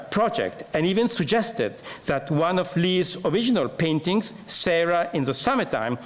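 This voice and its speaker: A man reading a prepared scholarly paper at a symposium, formal and measured. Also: fairly high pitch.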